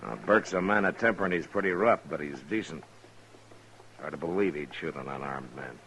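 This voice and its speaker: Deep-voiced, stern frontier marshal. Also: male voice, a bit bright. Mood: businesslike. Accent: American. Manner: blunt, measured, authoritative. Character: steady, stern frontier U.S. marshal in his prime. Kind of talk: 1950s radio western acting. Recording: noisy old radio drama recording.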